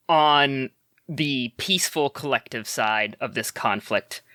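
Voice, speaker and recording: Player voice, man, bright and crisp tone, halting delivery, dry close mic. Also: medium pitch, dry recording. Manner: hesitant, many fillers. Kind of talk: actual play character talk.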